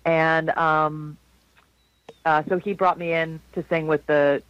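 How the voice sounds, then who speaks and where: A man in his forties from South Florida, a jazz singer with a low, slightly husky voice, telling rambling stories in a casual interview with frequent restarts. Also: flat delivery, slow, dry recording, lo-fi sound. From South Florida, USA. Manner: rambling, warm anecdotes with frequent restarts and laughs.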